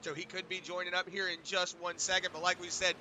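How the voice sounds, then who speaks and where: A man doing relaxed, rambling play-by-play for an online truck race, his voice hoarse from a sinus infection and full of filler words. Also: high-pitched, fast, a little hiss or hum. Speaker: race commentator. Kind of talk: play-by-play sim racing commentary.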